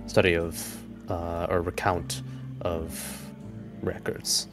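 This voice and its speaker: A man puts on a bookish bugbear academic for a tabletop game. The voice is low and creaky, with a halting, courteous, scholarly delivery. Music plays clearly behind it. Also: little pitch movement. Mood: earnest, halting. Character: absorbed, hesitant bugbear anthropologist, a bookish man.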